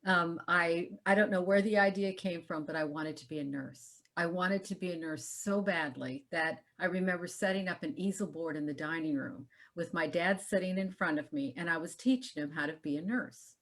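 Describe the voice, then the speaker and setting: Gracious, lower-voiced woman in her sixties. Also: normal speed, few pauses, a bit bright. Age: 60s. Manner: gracious, reflective. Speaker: guest. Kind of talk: interview storytelling.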